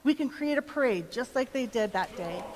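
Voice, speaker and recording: An eager Biblical eyewitness acted by a woman tells her story in a church drama, addressing listeners directly. The voice sits a little high and rings in a large hall. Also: animated, normal speed, natural voice. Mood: excited. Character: excited woman of Bethany, Lazarus's sister, witnessing Jesus. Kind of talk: dramatic monologue in a church performance. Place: church, live performance. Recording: reverberant hall.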